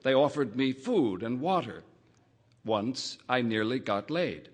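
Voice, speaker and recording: A man reading a first-person literary short story aloud, in a measured, wry narrating manner. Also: medium pitch, expressive, slight background noise. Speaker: audiobook reader.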